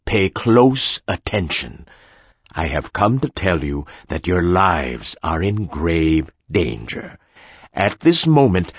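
A man voicing a commanding male leader in an audiobook, speaking slowly and gravely with a husky tone. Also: low voice, no echo, clean studio sound, pitched below the performer's own voice, heavily acted. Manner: slow, grave, deliberate announcing. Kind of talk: audiobook character voice.

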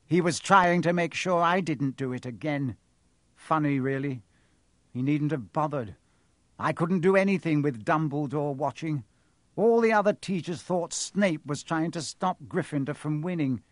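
A man narrating a storybook, voicing a cold, gloating male villain professor with a sharp, mocking, unhurried delivery. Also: a little high, no room sound, studio quality, voice pushed up, close to an everyday voice.

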